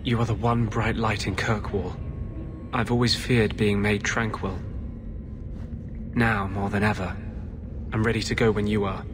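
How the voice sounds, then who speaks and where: A man's voice: on the low side, little pitch movement, measured pace, pauses often, background noise, lightly acted. An actor playing an earnest, bitter rebel mage in his thirties in a fantasy game, speaking intensely. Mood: grave, resolved.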